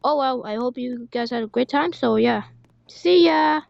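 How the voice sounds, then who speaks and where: Excitable high-voiced woman gaming. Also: normal speed, vocal fry, no room sound, dull audio. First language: Thai. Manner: excitable, exclamatory, repeats short cries. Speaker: gamer playing a storm-chasing game. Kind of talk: live gameplay commentary.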